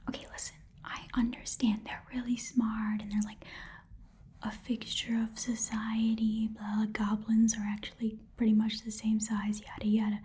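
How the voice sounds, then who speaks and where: A woman's voice: a little high, monotone, moderate pace, dark tone, lightly acted. A woman playing a small, blunt goblin pawnshop keeper in a casual, muttering ASMR roleplay whisper. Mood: disgusted, grumbling. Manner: rambling, casual, muttering, slangy. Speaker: ASMR performer playing a shopkeeper.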